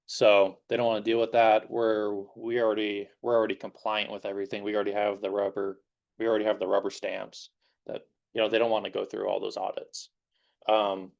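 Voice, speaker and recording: A man's voice: fairly low pitch, level tone, normal speed, vocal fry, a little mellow. A young man in his twenties, a guest security engineer speaking informally to a class in a classroom, rambling with many fillers as his voice starts to give out. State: slightly losing his voice.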